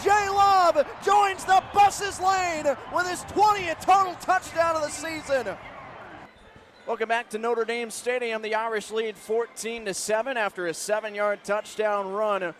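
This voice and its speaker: A man with an American accent calls live football on radio as play-by-play announcer, with a dramatic delivery moving between measured detail and excited calls. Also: extremely high pitch. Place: stadium broadcast booth. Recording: live stadium radio broadcast, loud crowd noise. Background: loud stadium ambience.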